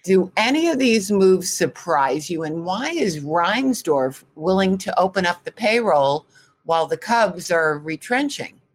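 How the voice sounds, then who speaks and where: A woman reporter putting prepared interview questions in a deliberate, declarative, newsy way. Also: on the low side, lively intonation.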